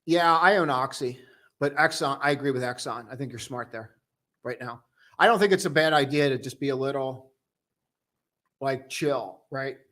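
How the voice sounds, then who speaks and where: A young man casually hosting a live stock-trading stream, chatting loosely and joking with viewers in a relaxed, tired way. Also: mid-range voice, normal speed. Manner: loose, joking, full of restarts and "dude". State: tired, low on coffee.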